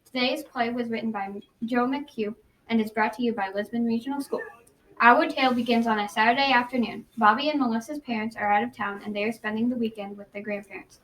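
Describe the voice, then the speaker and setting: A woman's voice: on the high side, fairly even intonation, brisk, fairly bright tone. A young-sounding female announcer reading scripted narration for an amateur radio drama. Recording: distant mic, faint noise.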